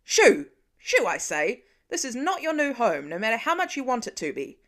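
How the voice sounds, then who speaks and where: A woman's voice: a little high, big pitch swings, normal speed, a bit bright. A protective father in his middle years, acted out by a woman narrator. He talks in wry asides and urgent calls, as a storybook character devoted to his family. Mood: exasperated shouting. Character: protective middle-aged father, gruff then frantic with worry.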